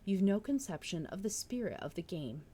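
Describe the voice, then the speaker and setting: A woman's voice: fairly low pitch, very animated, normal speed, dark tone, slight background noise. A woman voicing an opinionated, gossipy village busybody in a storybook read-aloud, stern, solemn and reproachful.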